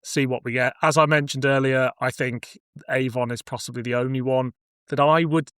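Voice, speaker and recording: A man's voice: medium pitch, fairly even intonation, normal speed, a bit bright, smooth, dry recording. Man in casual podcast chat, dry close sound. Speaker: podcast host. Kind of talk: casual discussion of cult TV and audio drama.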